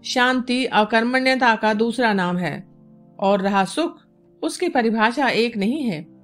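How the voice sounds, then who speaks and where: A woman as a bold high-voiced court dancer. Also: expressive, takes it slowly. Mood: bold, challenging. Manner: poetic, philosophical, courageous retorts. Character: bold, witty, beautiful female court dancer. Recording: music bed behind the voice.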